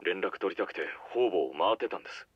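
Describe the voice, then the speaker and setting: An actor playing an anxious, loyal younger yakuza underling speaking earnestly over a telephone in a game cutscene. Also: male voice, low-pitched, lively intonation, fast talker, breathy edge, no echo. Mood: anxious, urgent. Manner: earnest, deferential, urgent. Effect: telephone filter. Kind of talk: Japanese game cutscene acting. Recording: muffled phone line, faint music.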